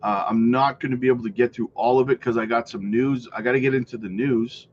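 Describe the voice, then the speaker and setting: A man's voice: medium pitch, fairly even intonation, quick pace, lo-fi sound, a little hiss or hum. A man hosting a casual crypto livestream, rambling through viewer comments with many fillers while losing his voice.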